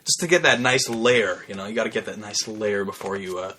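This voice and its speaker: Bright-voiced young man, podcast chat. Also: fairly low pitch, brisk, a little hiss or hum. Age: young adult. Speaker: podcast co-host. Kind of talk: casual comedic chat.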